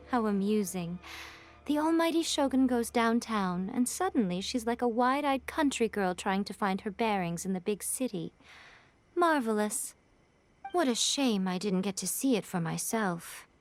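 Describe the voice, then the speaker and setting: A woman's voice: mid-range voice, animated, unhurried, soft background music, close to an everyday voice. A woman voicing a sly, playful noblewoman in a video game, teasing and amused in a drawling way.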